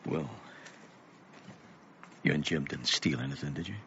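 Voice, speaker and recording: An actor playing a weary, gentle middle-aged father with a measured, melancholy, reflective way of talking in a radio drama. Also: extremely low pitch, very animated, gravelly, exaggerated voice. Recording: muffled, noisy radio play recording.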